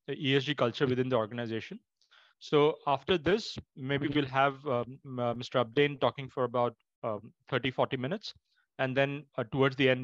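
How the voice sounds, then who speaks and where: A man giving a formal introduction as a webinar host, speaking in a halting, measured way. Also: mid-range voice, no room sound, highs cut off. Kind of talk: formal introduction, unscripted remarks.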